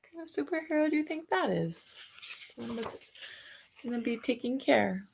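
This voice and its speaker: A woman reading a picture book aloud to children, expressive, with soft musing questions for young listeners. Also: a little high, moderate pace, gravelly, a little hiss or hum. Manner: expressive reading, alternating with softer musing asides and hums. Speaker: read-aloud storyteller for children.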